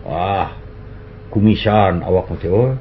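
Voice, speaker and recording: Gravelly-voiced comic storyteller. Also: male voice, sits low, takes it slowly. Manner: lively comic storyteller, voices characters in his tales. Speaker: comedian telling jokes. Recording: dull lo-fi recording with faint hiss.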